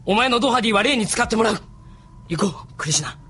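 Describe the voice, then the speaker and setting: A hot-headed young fighter with a high, bright voice in an audio drama. He speaks fast and forcefully, full of righteous indignation. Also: lively intonation, breathy edge, heavily acted. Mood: angry. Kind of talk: radio drama acting.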